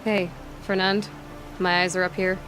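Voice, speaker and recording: A woman's voice: fairly low pitch, little pitch movement, normal speed, natural voice, rough recording. An actress playing a self-assured young woman hunter in a video game, dry, guarded and skeptical. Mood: wry, skeptical. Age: young adult. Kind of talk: video game dialogue acting. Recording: music clearly behind the voice.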